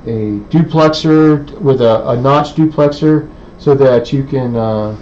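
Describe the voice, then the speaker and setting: A man giving an unscripted technical demonstration in a halting, hesitant way, thinking aloud as he explains. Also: mid-range voice, a little mellow. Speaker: presenter of an electronics how-to video. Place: indoor electronics workbench. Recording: dull lo-fi audio with clear background noise.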